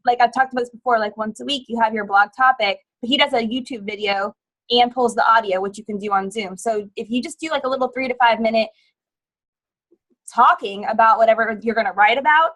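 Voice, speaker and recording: A woman's voice: fairly high pitch, a little fast, fairly bright tone, low-quality recording. A woman co-hosting a casual group video call, talking in a chatty, rambling way with fillers. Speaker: co-host.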